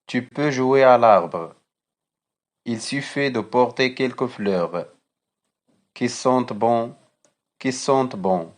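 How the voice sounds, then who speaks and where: A man recites poetry as a teacher would for pupils. His delivery is extremely slow and deliberate, each short phrase measured out. The voice sits at a middling pitch for a man.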